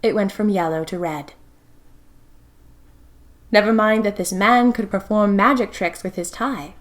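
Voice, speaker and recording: A young woman playing a spirited, romantic single woman of the 1920s, narrating her diary in an expressive, wistful, theatrical way. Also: mid-range voice, unhurried, close to an everyday voice. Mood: breathless storytelling. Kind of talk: dramatic first-person diary narration.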